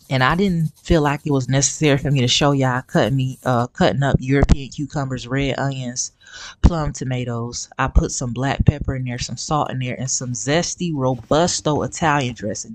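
A man's voice: medium pitch, a little hiss or hum. A man narrating a home cooking tutorial in a chatty, folksy way, often calling his viewers y'all. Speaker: home cook. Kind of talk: casual cooking tutorial voice-over. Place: home kitchen.

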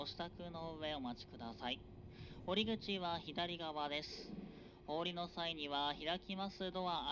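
A man announces stops and connections over a train's PA in a formal, drawn-out cadence. The sound is dull and muffled, as if picked up from a seat. A loud rumble of the moving train sits behind him. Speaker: train conductor. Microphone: train PA system recorded from a passenger seat. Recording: dull, noisy onboard PA recording.

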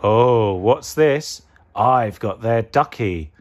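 A man acting evil pea, storybook villain, sneering, gloating, cackling, swooping pitch. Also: expressive, slow pace. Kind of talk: children's storybook character voice.

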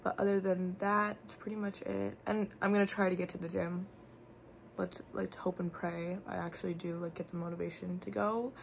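A woman's voice: fairly low pitch, flat delivery, normal speed, vocal fry, a little hiss or hum. Chatty young woman vlogging to camera. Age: young adult. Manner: chatty, peppered with "like". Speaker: college student vlogger. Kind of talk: casual vlog chat to camera. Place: small dorm room. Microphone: phone at arm's length.

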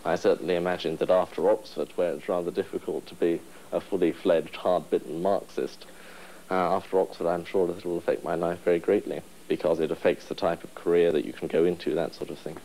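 Young man, deep dark voice, wry and halting, interview in a study. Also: little pitch movement, a little hiss or hum, low-quality recording. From England. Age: 20s. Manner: wry, hesitant with ums. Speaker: union president interviewee. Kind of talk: interview answers. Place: study room, indoors.

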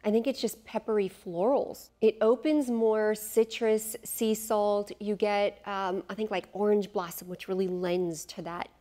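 A woman's voice: medium pitch, fairly even intonation, a little slow, hardly any pauses, mellow. A middle-aged woman reviewing perfumes to camera in a studio, chatty and enthusiastic, thinking aloud with fillers and asides, on a lapel mic. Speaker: fragrance reviewer. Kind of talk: casual product review to camera. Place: studio in front of a beach backdrop.

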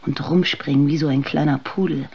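A woman's voice: low voice, fairly even intonation, fairly quick, creaky, a little mellow, husky, heavily acted. Harried serving woman, muffled sound. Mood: exasperated. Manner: blunt, exasperated. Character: harried, stern serving woman snapping at a child. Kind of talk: German radio drama acting. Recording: dull, noisy sound with ambience.

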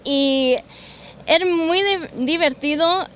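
Young woman from Los Angeles, high voice, bubbly singer interviewed outdoors on a handheld mic. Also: slow, fairly bright tone. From Los Angeles, United States. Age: young adult. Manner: bubbly, eager, slips in English words. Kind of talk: interview answers. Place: outdoors in a city park. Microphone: handheld mic held by interviewer.

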